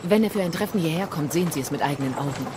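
A woman's voice: deep voice, expressive, very fast, very noisy. An actress playing a proud, determined Indian warrior queen in a dramatic audio play, composed, commanding and dry. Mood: commanding, wry. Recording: voice under loud music.

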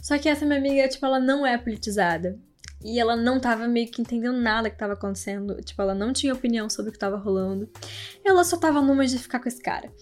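A woman's voice: a little high, rapid-fire. A young woman with a Brazilian accent, hosting a podcast and telling astrology-themed stories in a casual, laughing, slangy way. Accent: Brazilian Portuguese. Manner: chatty, laughing, slangy asides. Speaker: podcast host.

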